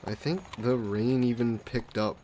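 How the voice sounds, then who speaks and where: A man playing a suave, possessive vampire lord in an intimate fantasy audio roleplay, speaking softly and coaxingly with condescending affection, as if hypnotizing a captive. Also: medium pitch, measured pace, slight background noise, close to an everyday voice. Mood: calm, wistful. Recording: steady background carriage and rain ambience. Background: horse-drawn carriage and rain sound effects.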